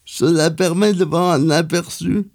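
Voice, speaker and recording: A man reading a cultural agenda column on the radio in French, slow and careful, with some hesitations. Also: fairly high pitch, fairly even intonation, darkish tone.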